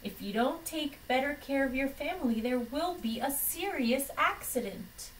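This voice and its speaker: Lady reading as bossy storybook dog doctor, high voice, scolding and emphatic, far from mic. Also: lively intonation, normal speed, slight background noise. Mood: exasperated. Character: stern, exasperated know-it-all dog doctor. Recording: distant microphone in a room.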